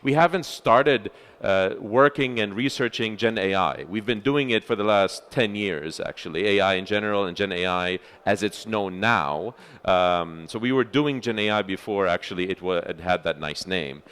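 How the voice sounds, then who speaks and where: Smooth-voiced man presenting at a conference. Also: lowish voice, normal speed. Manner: loud voice, many filler sounds and restarts. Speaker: center chair giving a talk. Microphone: handheld or stage microphone.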